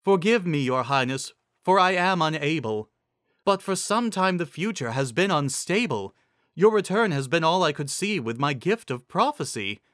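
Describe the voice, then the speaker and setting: A man acts out a humble female zebra seer in a fantasy tale. The voice is raised and bright, formal and apologetic, falling into careful rhymes. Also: fairly high pitch, expressive, normal speed, dry recording, studio quality, pitched above the performer's own voice. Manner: deferential, formal rhyming speech.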